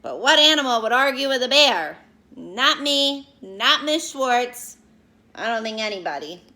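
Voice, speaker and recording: A woman teacher doing an expressive read-aloud for young children, speaking to them directly and explaining as she goes. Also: fairly high pitch, measured pace. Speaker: elementary school teacher reading aloud to pupils.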